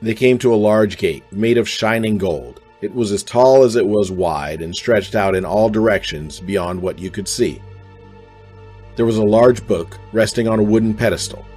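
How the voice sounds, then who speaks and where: A man's voice: on the low side, moderate pace, a little mellow, breathy edge, soft background music. A man reading scripted narration for a radio drama.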